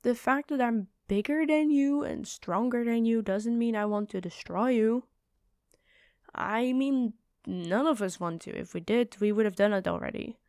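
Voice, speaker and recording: A kind giant woman, as a voice actress plays her in a fantasy ASMR roleplay. Her voice sits fairly high and is recorded dry, without room sound. She talks courteously and earnestly, with an apologetic, slightly hesitant manner. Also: moderate pace, a little mellow, clear, resonant tone, dry recording, close to an everyday voice. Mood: earnest, defensive.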